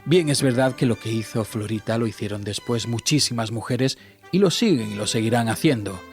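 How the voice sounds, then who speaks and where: An actor playing a wry, grandiloquent storyteller who introduces a period comedy in scripted radio drama narration. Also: male voice, medium pitch, brisk, lightly acted. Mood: wry, storytelling. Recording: music under the narration.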